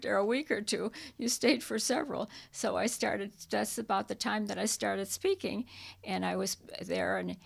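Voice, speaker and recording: An older woman whose first language was Czech, recalling her past in an interview in a halting, reminiscing way with many restarts. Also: mid-range voice, gravelly, a bit bright. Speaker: interviewee, hiking club member. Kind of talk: oral history interview.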